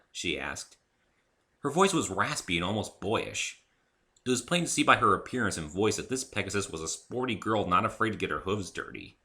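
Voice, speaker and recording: Forceful, expressive male story reader. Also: fairly low pitch. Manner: expressive, theatrical. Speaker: author reading own story. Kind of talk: dramatic first-person story reading.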